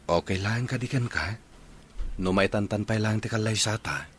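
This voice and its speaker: A man's voice: on the low side, moderate pace, husky. An actor playing a respectful, apologetic young man in a radio drama. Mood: apologetic, grateful. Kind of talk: Ilocano radio drama dialogue.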